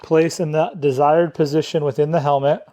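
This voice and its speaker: A man presents a hands-on product tutorial. His voice sits a little higher than usual for a man, and he speaks in the instructional style of a demonstrator going step by step. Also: level tone, brisk, warm tone.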